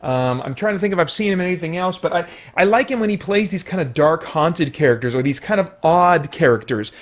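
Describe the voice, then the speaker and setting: Man, slightly high voice, film reviewer, casual, rambling, jokey asides. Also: animated, fairly quick. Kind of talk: casual spoken movie review.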